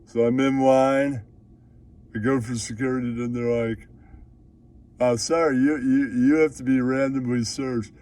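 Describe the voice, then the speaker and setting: A man's voice: medium pitch, fairly even intonation, a little mellow, close to an everyday voice. A man playing a foul-mouthed, aggrieved puppet character who blusters indignantly in an improvised comic monologue. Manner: blustering, swearing, drawn-out indignation.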